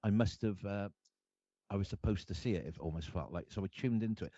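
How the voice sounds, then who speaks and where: A man from Cardiff with a Welsh accent, telling his life story to an interviewer in an earnest, halting way, often restarting his sentences. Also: lowish voice, dark tone, no room sound. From Wales. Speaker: guest artist. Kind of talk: candid personal storytelling. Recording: dull lo-fi dry sound.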